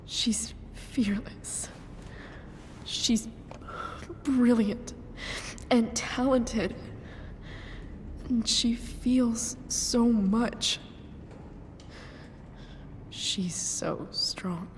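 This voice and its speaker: A young woman playing an earnest, grieving teenage girl, blunt, halting and sincere, in a dramatic video game cutscene. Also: a little high, in a whisper, in a large hall, low-quality recording. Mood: earnest pleading. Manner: halting, sincere, sometimes profane.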